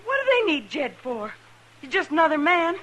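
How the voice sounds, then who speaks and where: A woman's voice: high-pitched, big pitch swings, normal speed, fairly bright tone. An American actress playing a defiant young frontier woman in a 1950s radio Western, blunt and bitter with rustic speech, on an old recording. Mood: angry, defiant.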